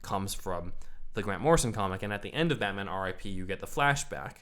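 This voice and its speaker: Brief: lower-pitched male co-host, chatty, walks through comic lore in detail. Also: quick pace. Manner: explains at length, animated storyteller. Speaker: podcast co-host. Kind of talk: casual comic-book discussion.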